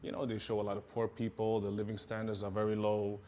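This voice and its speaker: Man, 30s, low voice, relaxed, lots of fillers. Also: fast talker, slight background noise. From Sweden. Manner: relaxed, many fillers and repeated yeahs. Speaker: martial arts instructor. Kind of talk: casual interview answers.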